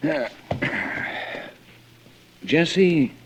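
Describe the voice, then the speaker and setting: An actor playing a kindly, uneasy police lieutenant in an old radio drama, hesitant and courteous. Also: male voice, fairly high pitch, expressive, extremely slow. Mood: gentle, uneasy. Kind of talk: 1940s-50s radio drama acting. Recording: muffled old radio broadcast, faint noise.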